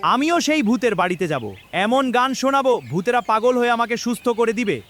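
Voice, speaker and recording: A man's voice: very high voice, lively intonation, a little fast, fairly bright tone. A man voicing an eager, exclaiming hunchbacked villager in a ghost folk tale. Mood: boastful.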